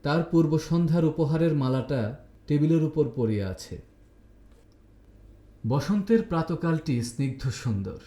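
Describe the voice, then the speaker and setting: A man narrates literary fiction with measured, dramatic phrasing. His voice is mellow and a little high for a man. The sound is roomy, with a clear echo around the words. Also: lively intonation. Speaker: story reader and narrator.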